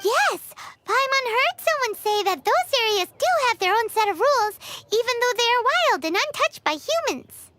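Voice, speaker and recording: A woman's voice: very high voice, expressive, normal speed, sharp tone, no echo, heavily acted. A woman playing a tiny, childlike, cheeky fairy companion in a video game, who talks about herself in the third person. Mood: eager, cheerful. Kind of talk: video game character voice acting.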